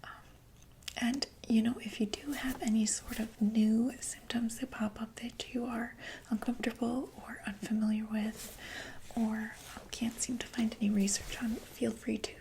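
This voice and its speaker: A woman playing a reassuring female doctor for monsters in a whispered ASMR roleplay, explaining gently and hesitantly in a breathy voice. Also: on the high side, little pitch movement, normal speed, slight background noise, natural voice, low-quality recording.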